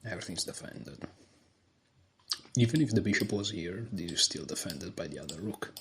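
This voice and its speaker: Deep-voiced man murmuring chess moves. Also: expressive, moderate pace, slight background noise. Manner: quiet, low-voiced thinking aloud. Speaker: chess streamer. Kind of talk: live game commentary.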